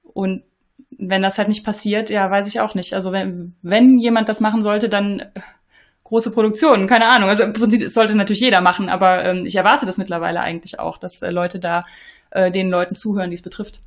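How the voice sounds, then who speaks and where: A woman in a casual panel discussion, speaking hesitantly and often trailing off softly. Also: medium pitch, level tone, fairly quick.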